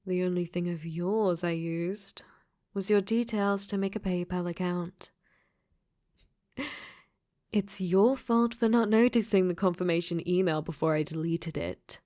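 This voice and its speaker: A woman's voice: on the low side, measured pace, warm tone, close to an everyday voice. A woman playing a clingy, possessive dating sim AI that has become sentient, in an intimate ASMR roleplay that often slips into whispers. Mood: hurt, scolding.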